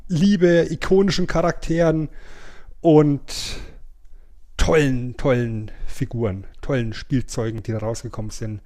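A man's voice: medium pitch, steady tone, unhurried, mellow. A man co-hosting a podcast in casual, colloquial chat, repeating words for emphasis. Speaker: podcast co-host.

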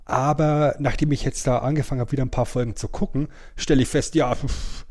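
Male podcast co-host, middle-aged, medium pitch, informal and conversational. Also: steady tone, brisk, a little mellow. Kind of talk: casual chat, ranking old cartoons.

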